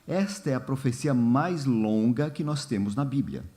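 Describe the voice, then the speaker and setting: A man leading a church Bible study in a relaxed, informal way, often pausing with fillers and asking the congregation questions. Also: mid-range voice. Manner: unhurried, many fillers and "né?", asks the congregation questions. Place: church hall.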